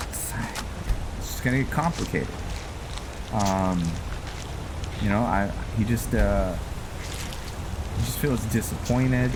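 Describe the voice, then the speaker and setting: A man giving casual gameplay commentary, thinking aloud in short, hesitant phrases. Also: lowish voice. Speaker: game streamer narrating a roleplay playthrough. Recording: loud game ambience and noise behind. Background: video game sounds, outdoor ambience.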